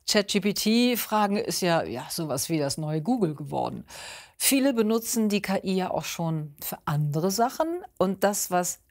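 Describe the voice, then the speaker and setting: A woman's voice: on the low side, animated, normal speed, a little mellow. A woman presenting on television, addressing viewers in a conversational, scripted style.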